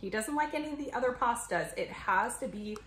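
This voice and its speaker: A woman's voice: on the high side, lively intonation, brisk, a bit bright. A woman in her thirties chatting casually and explaining things to camera as a home vlogger. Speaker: vlogger, mother showing a grocery haul. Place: home kitchen, indoors. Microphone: camera a few feet away. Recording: echoey kitchen, noisy, clicks and rustling.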